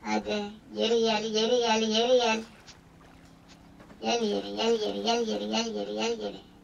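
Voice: mid-range voice, level tone, normal speed, creaky, recorded from a distance, lo-fi sound, a little hiss or hum. A gaming host giving casual, playful commentary in slangy banter, often repeating phrases over and over. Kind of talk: casual gameplay commentary, playful role-play.

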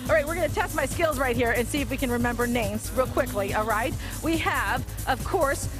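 A woman in her thirties, a TV morning show reporter doing bubbly, excited live interviews on an outdoor soccer field with a handheld mic. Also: sits high, brisk, music in the background.

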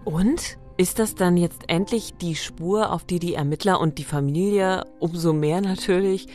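A woman's voice: on the low side, moderate pace, a little mellow. A woman hosting a true-crime podcast, delivering scripted narration and leading questions. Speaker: podcast host. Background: background music.

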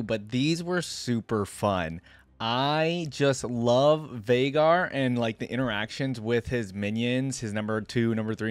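A man's voice: mid-range voice, no echo. A young man giving casual reaction commentary into a close desk microphone. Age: young adult. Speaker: reaction video host. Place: indoor gaming setup.

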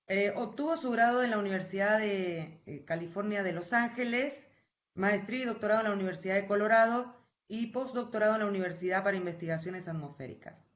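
A woman hosts an online seminar and formally introduces the guest speaker, polite, with a few fillers. Her voice carries a roomy echo. The call audio is dull and lo-fi. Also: mid-range voice. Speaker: seminar host. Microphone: video call.

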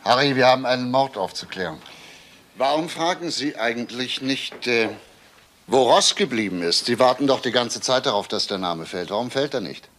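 A man's voice: mid-range voice, animated, normal speed, a bit bright, close to an everyday voice. A man playing a relentless, sarcastic police inspector in a crime radio drama, firing insistent questions. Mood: probing.

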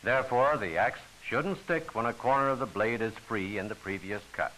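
A man's voice: on the low side, normal speed, sharp tone, a little hiss or hum, poor audio. A man giving formal, instructional scripted narration for a training film, on an old film soundtrack.

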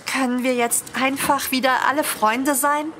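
A woman's voice: high voice, steady tone, measured pace, a bit bright, a little hiss or hum. A woman acting a bossy, teasing friend who mothers her squabbling pals in an audio drama, scolding playfully but firmly. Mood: earnest, conciliatory.

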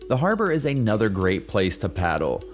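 Lively-voiced man narrating a kayak tour. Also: on the low side, a little fast. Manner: friendly, informative tour guide narration. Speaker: kayaking vlogger. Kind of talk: scripted travel voice-over. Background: soft background music.

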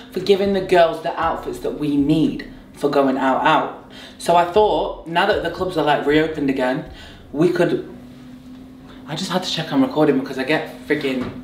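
A young woman with a hoarse, croaky voice from a sore throat, chatting playfully through a clothing try-on haul. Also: low-pitched, a little hiss or hum. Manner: chatty, bubbly, playful asides. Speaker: fashion vlogger. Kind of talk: casual try-on haul chat. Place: bright indoor room at home. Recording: echoey room.

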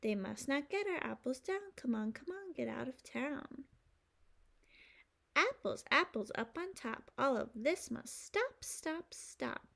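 A woman in her thirties with a fairly high voice leads a children's storytime. She speaks very slowly, with simple, clear phrasing suited to preschoolers, like a teacher reading a picture book aloud. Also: very animated, a bit bright.

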